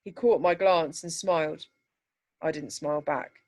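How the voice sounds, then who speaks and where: A woman reads literary fiction aloud in a slightly low voice. Her narration is measured and deliberate, giving each descriptive phrase its space. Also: darkish tone. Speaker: teacher reading a story aloud. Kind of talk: scripted story narration.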